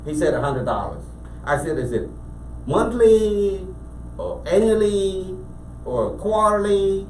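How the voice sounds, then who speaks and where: A man from Cambodia with a Cambodian accent, a business owner telling his story as a guest in a casual interview, animated and full of restarts. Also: sits high, slow. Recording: echoey room, mic at a distance, faint background noise.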